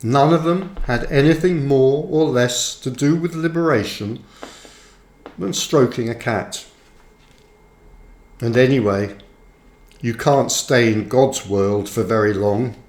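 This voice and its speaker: A man narrates a book in a slow, measured way, placing each phrase deliberately. His voice sits at an average male pitch. The room around him adds a clear echo to the reading. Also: a little mellow. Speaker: author reading own book aloud. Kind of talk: audiobook-style scripted narration. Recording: roomy, echoing sound.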